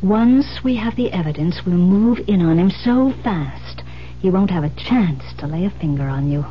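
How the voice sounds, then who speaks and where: A woman playing a composed, determined confidante in an old-time radio thriller, earnest, deliberate and reassuring. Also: lowish voice, animated, lightly acted. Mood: earnest, insistent. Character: Iris, composed, determined woman coaching a frightened friend. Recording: muffled old radio recording with noise, hum.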